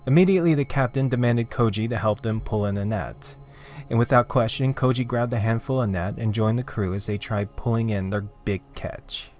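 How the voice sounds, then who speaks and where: Male horror narrator, fairly deep voice, theatrical and deliberate storytelling delivery, music underneath. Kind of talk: dramatic scripted horror storytelling. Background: background music.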